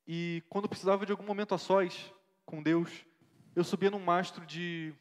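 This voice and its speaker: A man acting as an earnest young missionary pastor with a fairly high voice, recalling his mission in a reflective, devoted way and often checking in with his listener. His voice rings with the long reverb of a church hall. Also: steady tone, normal speed, lightly acted. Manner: earnest, reflective storytelling with frequent tag questions. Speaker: interviewee in a church play. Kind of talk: staged interview, church theatre.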